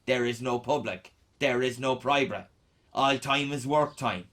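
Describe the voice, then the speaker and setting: A man's voice: mid-range voice, a little slow, fairly bright tone, close to an everyday voice. Man playing a sweary Irish teenage punk. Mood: wry, animated. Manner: irreverent, slangy, sweary. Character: sweary sardonic teenage punk zine writer, a boy. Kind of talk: radio play monologue, reading out a zine.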